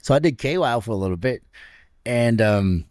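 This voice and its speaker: A man in his fifties with a deep, raspy voice. He tells his stories in an animated way, laughing between them, with a lively rise and fall in pitch. The sound is dry and close. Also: moderate pace, dry recording. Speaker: radio personality, guest. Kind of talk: casual storytelling interview. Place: stadium club room.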